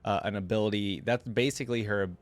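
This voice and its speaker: A man's voice: fairly low pitch, fairly even intonation, quick pace, no room sound, faint music behind. A man hosting a reaction video, chatting casually as he comments on game lore and abilities.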